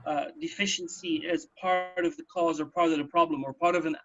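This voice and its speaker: A man's voice: on the high side, steady tone, fairly quick, a bit bright, dull audio. A man in a casual radio discussion of health news, explaining things and often rephrasing himself as he goes. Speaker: radio talk host.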